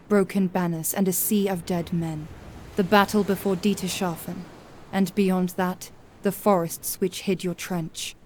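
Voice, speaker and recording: Female narrator, lowish pitch, flat intonation, solemn, measured, dramatic scripted storytelling. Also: slight background noise.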